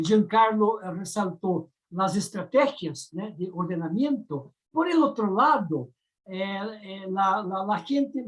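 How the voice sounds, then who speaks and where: A woman from Brazil, a native Portuguese speaker with a Brazilian accent, giving thoughtful academic commentary as a discussant on a round-table panel, often pausing to search for words. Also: fairly high pitch, rough recording.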